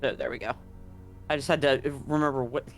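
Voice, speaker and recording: A man's voice: medium pitch, fairly even intonation, brisk, faint music behind. A man as game master of a tabletop roleplaying game, explaining rules and recapping in a chatty way with frequent ums.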